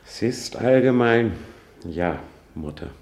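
An actor playing a grieving, bitter young prince in a classical radio drama, with deliberate declamation that moves between hushed and forceful. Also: male voice, mid-range voice, expressive. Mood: bitter, restrained. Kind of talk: classical German stage-verse radio drama acting.

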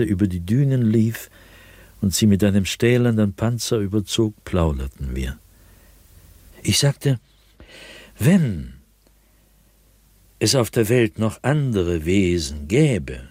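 A man playing an anguished, fearful, solitary diarist in a radio drama, speaking in a husky, brooding voice with sudden swells and hushed drops. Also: lowish voice, no room sound, exaggerated voice. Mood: searching, intense. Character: anguished, fearful, solitary male diarist haunted by nightly dread. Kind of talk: German radio drama monologue, diary read dramatically.

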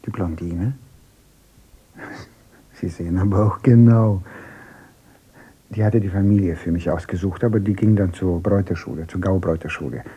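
A smooth-talking young man in a radio drama. His voice is husky and dark, and he chats on eagerly to please his hosts. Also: low voice, normal speed, pauses often. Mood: chatty. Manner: talkative, ingratiating. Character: glib chatty young man, boastful guest. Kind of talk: radio play acting.

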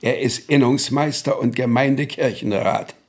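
An actor playing an authoritarian small-town Prussian official in a German radio play, stern and deliberate in manner. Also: male voice, medium pitch, natural voice. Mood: firm, sceptical.

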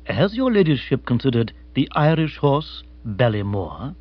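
A man's voice: mid-range voice, very animated, measured pace, lo-fi sound, pitched below the performer's own voice. A man voicing an unflappable, formal English manservant in a storybook, very polite, precise and wordy. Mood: measured, deferential. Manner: stately, circumlocutory, very polite.

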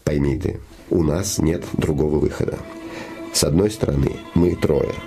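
Very deep, gravelly scheming shadow. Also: male voice, moderate pace, mellow. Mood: urgent persuasion. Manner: insinuating, solemn, emphatic persuasion. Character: scholar's scheming shadow, male, posing as devoted friend. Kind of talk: theatrical fairy-tale play acting. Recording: music behind the voice.

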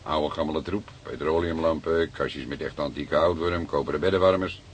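Veteran police detective, deep voice, wry, avuncular, radio play banter, noisy background. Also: male voice, steady tone, moderate pace. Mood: matter-of-fact. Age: middle-aged. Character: seasoned older male police detective, teasing, paternal, unhurried. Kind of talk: naturalistic radio play acting.

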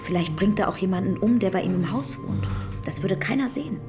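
A woman's voice: medium pitch, fairly quick, music in the background, natural voice. An actress playing a doubtful townswoman speculating in radio play dialogue.

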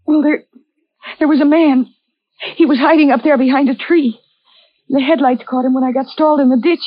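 High-pitched young woman, played by an actress, scared yet plucky sister in a forties radio thriller, earnest and dramatic, dull old recording. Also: steady tone, fairly quick, dry recording, lightly acted. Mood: frightened, insistent. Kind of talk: 1940s radio thriller acting. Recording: dull band-limited vintage radio recording.

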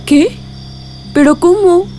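A woman's voice: very high voice, slow, mellow, slight background noise. A young woman playing an innocent, emotional granddaughter in a radio drama, with a Mexican accent and a plaintive manner. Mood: astonished.

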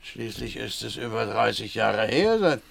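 A man's voice: fairly low pitch, very animated, measured pace, gravelly, fairly bright tone, heavily acted. An actor playing a shabby older man in a radio drama, slyly familiar and falsely polite, with a drawling delivery. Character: small shabby old male acquaintance, slyly familiar, menacing. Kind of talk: German radio drama acting.

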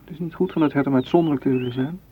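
A man's voice: fairly high pitch, very fast, close to an everyday voice. An actor playing a possessive, wooing man in a radio drama, pressing and reproachful in manner. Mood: insistent. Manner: insistent, reproachful. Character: possessive, wooing suitor seeking warmth and closeness. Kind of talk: literary radio drama acting. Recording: dull, noisy radio play recording.

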